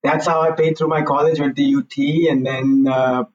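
A man's voice: mid-range voice, flat delivery, normal speed, darkish tone. Indian-born guy in his 40s, guest on a chat, sounds muffled and a bit far off, says "you know, look" a lot. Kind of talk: casual interview.

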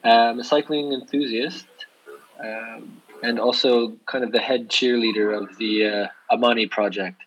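A man's voice: mid-range voice, steady tone, a little slow, fairly bright tone, dull audio. A man with an American accent, chatting casually as an interview guest. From United States. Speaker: interview guest, bike shop owner. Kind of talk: casual chat.

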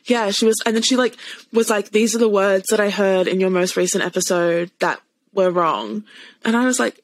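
An Australian woman hosts a podcast in easy, chatty conversation, sounding bubbly and quick to laugh. Her voice sits in a middle pitch. The recording is dry, with no room sound. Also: dry recording. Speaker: podcast host. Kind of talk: casual podcast chat. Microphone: remote call.

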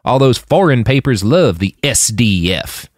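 A man voicing a gruff, pious older Texan militia driver with folksy Southern speech in an audiobook. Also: mid-range voice, big pitch swings, unhurried, no echo, studio quality, pitched above the performer's own voice. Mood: scornful. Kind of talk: audiobook character voice.

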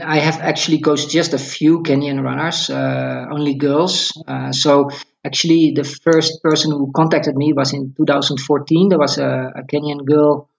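A man's voice: a little high, creaky, low-quality recording. A man in his forties from the Netherlands with a Dutch accent, a coach chatting casually as an interview guest. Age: 40s.

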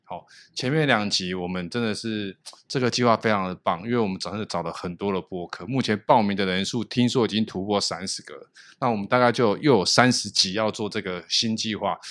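A man hosting a podcast in a jokey, teasing manner, laughing often. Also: on the low side. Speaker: podcast host. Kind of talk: casual chat.

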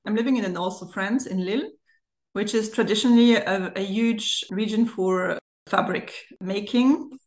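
A woman's voice: medium pitch, normal speed, a little mellow. Chatty German-accented woman in a roomy space. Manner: chatty, giggles often as she talks. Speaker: podcast guest. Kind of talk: casual chat. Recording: roomy, echoey remote recording.

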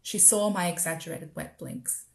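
An Australian woman reading her own literary writing aloud in a measured, deliberate, poetic way. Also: on the low side, vocal fry. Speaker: writer performing own work. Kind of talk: literary spoken-word reading.

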